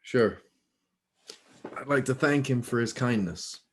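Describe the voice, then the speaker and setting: A man playing a proud, firm husband and judge in a screenplay table read, speaking deliberately. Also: medium pitch, animated, slight background noise. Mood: polite, grateful.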